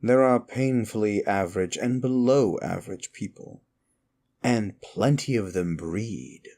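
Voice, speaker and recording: An ancient, wicked demon, acted by a man, speaks straight to the listener in an intimate ASMR roleplay. The voice is very low and full of gravelly fry. Each phrase comes slowly and deliberately, brooding and theatrical, with contempt and a trace of inner conflict. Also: mellow. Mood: brooding, softening. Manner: theatrical, brooding, slow and deliberate with dramatic pauses. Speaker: audio roleplay performer.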